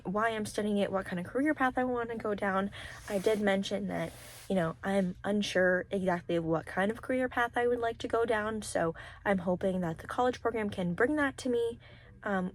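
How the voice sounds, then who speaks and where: A young adult woman giving a casual vlog-style recap, talking in an informal, halting and rambling way. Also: medium pitch. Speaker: vlogger, college program applicant.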